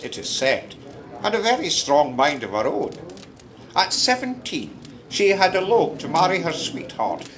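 A Scottish storyteller welcomes friends to his fireside in an audio drama. His folksy delivery is slow and richly varied in pitch, with clear background ambience behind it. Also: fairly bright tone, a little hiss or hum, full character voice. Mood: wry storytelling. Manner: leisurely, hospitable, folksy. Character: convivial Scottish fireside storyteller, fond of a dram. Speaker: narrator.

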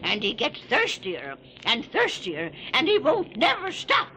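A woman's voice: sits high, huge pitch swings, a little slow, bright, forceful tone, a little hiss or hum, full character voice. An actress playing a cackling, half-mad old female lighthouse keeper on a spooky storybook record, with folksy rustic grammar and theatrical delivery. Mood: gloating. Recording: dull lo-fi record with music behind.

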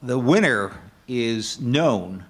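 A man's voice: mid-range voice, animated, drawn-out words. An older man on a panel, explaining an answer to an audience at a table microphone.

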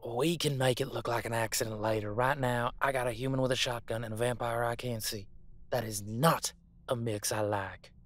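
A gruff veteran hunter acted by a man from a supernatural audio drama. He is scornful and bossy in a folksy way, with a tense, bright, mid-pitched voice. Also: fairly even intonation, normal speed, close to an everyday voice. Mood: scheming, scornful. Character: gruff veteran male monster hunter, profane, scornful boss.

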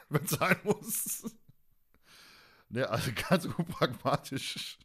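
A man's voice: a little high, big pitch swings, drawn-out words, fairly bright tone, husky. A man co-hosting a podcast, recapping a story in casual, rambling colloquial talk that often doubles back on its phrases. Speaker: podcast co-host. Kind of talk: casual podcast chat, recapping an audio drama.

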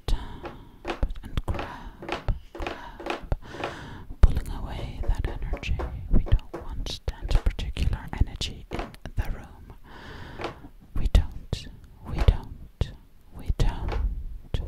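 A woman's voice: slow, lightly acted. A woman playing a sly, boastful witch in an ASMR roleplay, speaking breathily and close up, repeating words hypnotically. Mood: hypnotic, soothing. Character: sly, boastful female witch who takes people as coats. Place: small indoor room at a table. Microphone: close to the mic.

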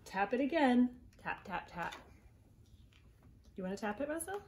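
A woman in her thirties reading a picture book aloud to young children at home, gently encouraging them and prompting with questions. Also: a little high, sing-song, moderate pace, background noise. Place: small living room at home, indoors.

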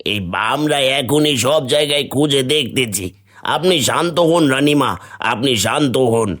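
A man's voice: fairly high pitch, moderate pace, fairly bright tone, lightly acted. A man voicing an anxious, deferential palace servant in a fairy-tale cartoon, eager to placate. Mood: anxious placating.

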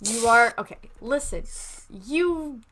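Woman playing a patient, exasperated apocalypse medic, higher voice. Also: lively intonation, slow pace, a bit bright, a little hiss or hum. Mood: flustered reassuring. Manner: hesitant, sighing, placating. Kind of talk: improvised Minecraft zombie roleplay, conversational.

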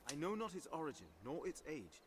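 Solemn fantasy officer, lively intonation. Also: male voice, a little high, noisy background. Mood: solemn, businesslike. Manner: formal, archaic, measured phrasing. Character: solemn formal male fantasy quest-giver officer. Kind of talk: fantasy video game voice acting. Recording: loud game music behind.

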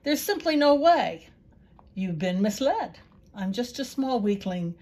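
A woman's voice: fairly high pitch, sing-song, unhurried, darkish tone. A woman voicing a timid, frightened little donkey. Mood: pleading. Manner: pleading, frightened, self-pitying. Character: small timid weak male donkey. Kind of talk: storybook reading.

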